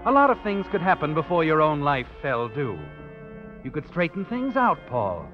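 An actor playing an ominous, taunting narrator in a 1940s radio suspense drama, insinuating and theatrical. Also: male voice, a little high, very animated, normal speed. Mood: sinister, insinuating. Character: ominous, taunting male narrator addressing the protagonist. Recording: muffled old radio recording, music behind. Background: dramatic music.

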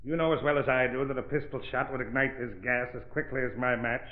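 A man's voice: medium pitch, fairly even intonation, fast, close to an everyday voice. An actor playing a cornered, menacing male crime boss who taunts his foes, in 1930s radio drama style. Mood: mocking. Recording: muffled, phone-like old radio recording, roomy.

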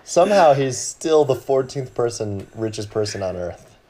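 A man co-hosting a podcast in casual chat, groggy from just waking up, with deadpan sarcastic asides. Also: medium pitch, moderate pace, slight background noise. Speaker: podcast co-host.

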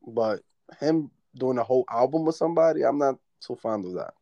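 A man's voice: mid-range voice, lively intonation, brisk, dark tone, highs cut off. Laid-back man, rambling casual chat. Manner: laid-back and profane, trails off mid-thought.

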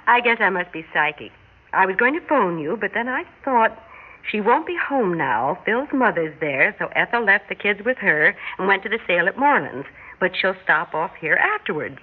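A woman's voice: mid-range voice, big pitch swings, normal speed, breathy edge. An American actress playing a wry suburban housewife and mother with second sight in an old-time radio drama, telling her tale conversationally. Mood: friendly chat. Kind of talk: old-time radio drama acting and first-person narration. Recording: noisy, narrow-band vintage radio recording.

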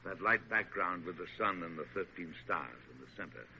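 Pompous middle-aged president, declamatory, animated, vintage radio play, faint hiss. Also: male voice, low-pitched, normal speed, full character voice. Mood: proud, musing. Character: proud, fussy middle-aged male president, playfully pompous with his wife. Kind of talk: 1940s American radio drama acting. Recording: muffled, noisy old radio recording.

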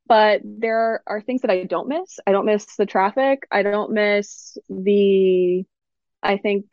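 A woman from Miami, an attorney guest on a podcast, speaking in a relaxed way with many fillers. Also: mid-range voice, slow, no room sound. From Miami, United States. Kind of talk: casual interview chat. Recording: dull, dry lo-fi recording.

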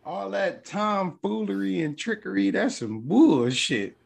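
A man in casual chat, teasing loudly and swearing a lot. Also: sits high, lively intonation, measured pace, creaky. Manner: crude, loud teasing.

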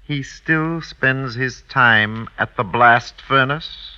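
Slow, suave marriage broker. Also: male voice, medium pitch, sharp tone, clean tone. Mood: wry, nostalgic. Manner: measured, courtly, insinuating. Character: suave, worldly older French marriage broker. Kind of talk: old-time radio drama acting. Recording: dull vintage radio recording.

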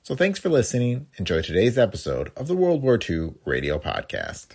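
Very deep, husky American podcast host. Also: male voice, huge pitch swings, normal speed, darkish tone. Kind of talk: scripted narration.

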